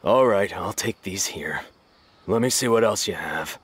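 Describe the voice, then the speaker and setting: A shady, picky buyer in a video game. His low voice grumbles dismissively over faint ambient noise. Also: lively intonation, a little slow. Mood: grumbling, dismissive.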